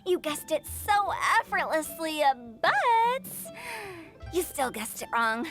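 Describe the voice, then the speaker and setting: A woman's voice: high voice, very animated, slow pace, a bit bright, soft background music, exaggerated voice. A woman voicing a quirky, mischievous young woman in a video game, chipper and teasing with flowery, theatrical phrasing. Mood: teasing, coaxing. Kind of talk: animated game dialogue acting.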